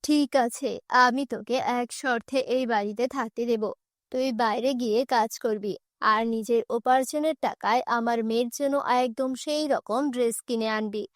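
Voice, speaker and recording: A woman's voice: fairly high pitch, normal speed, no echo, clean studio sound, pitched below the performer's own voice, close to an everyday voice. A woman voicing a cruel middle-aged stepmother in a cartoon, scolding with contempt and threat. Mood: cold, threatening.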